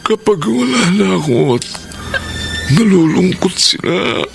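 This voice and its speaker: A man's voice: high voice, sing-song, a little slow, gravelly, music in the background, exaggerated voice. A man playing a frail, dying father and younger brother in a Tagalog melodrama, weak, tearful and gasping for breath. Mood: fading, pleading. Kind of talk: Tagalog radio-style melodrama acting.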